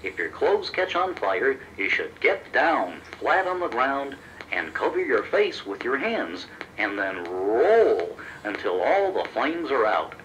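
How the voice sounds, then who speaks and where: A man voicing a silly, childlike, eager sidekick creature in a children's audio story, exclaiming and easily excited. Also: mid-range voice, slow pace, slight background noise, poor audio. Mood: cheerful, eager. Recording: promotional children's cassette tape.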